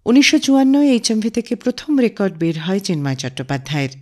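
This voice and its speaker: A woman's voice: mid-range voice, sing-song, fast talker. A woman hosting a radio show, narrating a scripted biographical story. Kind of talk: scripted storytelling narration about a singer's life.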